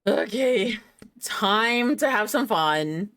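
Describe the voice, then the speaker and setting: A woman's voice: mid-range voice, animated, extremely slow, fairly bright tone. A woman hosting a game livestream, chatting casually and playfully to herself and her viewers in a giggly manner. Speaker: game streamer, host.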